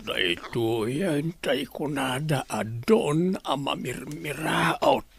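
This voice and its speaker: A man's voice: on the high side, expressive, very slow, gravelly, a bit bright, breathy edge, exaggerated voice. A man with an Ilocano Filipino accent playing a troubled countryman from a struggling family in a radio drama. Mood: grumbling.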